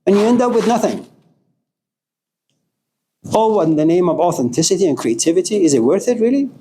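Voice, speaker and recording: A man's voice: sits high, animated, brisk, mellow, slight background noise. A middle-aged man from Iran gives an informal philosophy lecture, passionate and emphatic, swearing at times.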